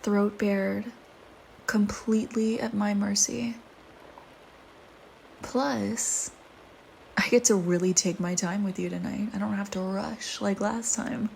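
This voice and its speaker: A woman voicing a flirty, teasing, predatory female vampire in an intimate audio roleplay, with playful banter and breathy murmurs. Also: mid-range voice, steady tone, takes it slowly, pauses often, a little mellow, slight background noise. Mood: playful, taunting.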